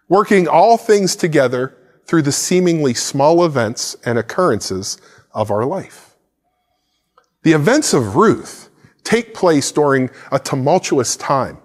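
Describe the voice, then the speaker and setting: Preaching man with a reverberant sound. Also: a little high. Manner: animated preaching, gesturing with a Bible in hand. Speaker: pastor. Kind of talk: sermon. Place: church stage set with plants and a cross.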